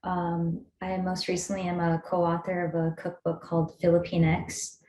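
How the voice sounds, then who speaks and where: A woman chef from the Bay Area, introducing herself in a steady, friendly way. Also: lowish voice, moderate pace. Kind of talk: self-introduction. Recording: roomy with faint noise.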